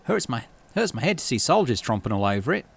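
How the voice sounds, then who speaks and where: Man voicing a folksy woman expedition leader, animated. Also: medium pitch, fast talker, vocal fry, breathy edge, exaggerated voice. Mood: uneasy warning. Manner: folksy, plain-spoken.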